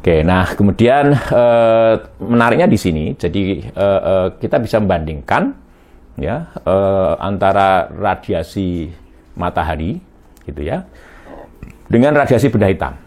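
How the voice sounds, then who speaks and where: A middle-aged man giving a recorded university lecture, halting and full of fillers and tag questions. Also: sits low, big pitch swings, a little mellow. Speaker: astrophysics lecturer.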